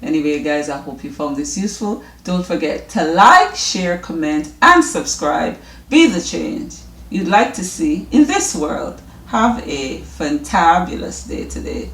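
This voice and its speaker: A woman's voice: low-pitched, big pitch swings, measured pace, a bit bright. Jamaican lady just rambling to her viewers, takes her time, bit far from the mic. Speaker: health and wellness vlog host. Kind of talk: casual rambling chat to viewers. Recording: echoey room, distant mic.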